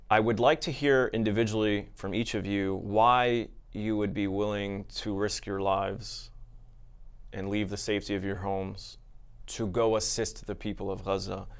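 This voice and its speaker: Smooth low-voiced male podcast host. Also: fairly even intonation, unhurried. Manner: polite, checks facts with guests. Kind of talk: interview.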